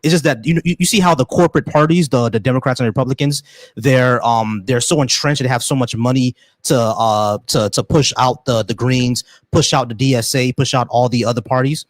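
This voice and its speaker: Man, 30s, host, rapid speech, dry mic, slangy, stumbling restarts. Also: mid-range voice, no echo. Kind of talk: casual political call-in talk.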